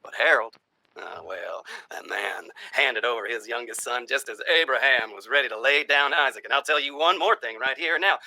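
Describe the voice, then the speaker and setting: A man playing a folksy, sinister presidential candidate, giving a theatrical stump speech with dramatic pauses and hushed asides in a vintage radio style. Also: medium pitch, gravelly, low-quality recording. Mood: persuasive, dramatic. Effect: vintage old-time radio treatment. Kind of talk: theatrical campaign stump speech, satirical horror monologue.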